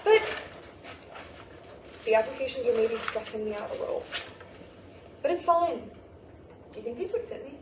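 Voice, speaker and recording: A sarcastic teenage girl, played by a woman in a play reading, with a fairly high voice and a flippant way of brushing things off. She sounds stressed underneath, and is heard from a distance in a very echoey room. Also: expressive, moderate pace, long pauses, natural voice. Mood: teasing, defensive. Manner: flippant, slangy, brushing off worries. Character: sarcastic teenage daughter, stressed about college. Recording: roomy, distant mic with hiss.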